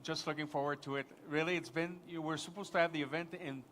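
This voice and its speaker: A man's voice: fairly high pitch, steady tone, quick pace, a bit bright, slight background noise. A man from Quebec with a French-Canadian accent, a native French speaker, speaking as a panelist at a live conference and rambling enthusiastically with many restarts.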